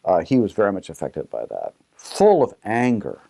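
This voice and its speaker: A man recollecting in a personal interview, halting and rephrasing as he goes. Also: sits low, huge pitch swings, gravelly, warm tone, dry recording.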